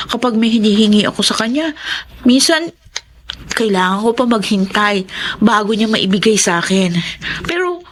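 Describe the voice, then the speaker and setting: A woman's voice: mid-range voice, a little hiss or hum, natural voice. An actress playing a sweet, playful eighteen-year-old Filipino daughter devoted to her papa, in a radio drama.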